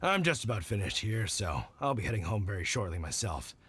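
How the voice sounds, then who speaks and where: A man's voice: fairly low pitch, very animated, a little fast, fairly bright tone, slightly rough, heavily acted. A man voicing a kindly middle-aged hunter dad in a video game, folksy, reflective and supportive. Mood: warm, encouraging.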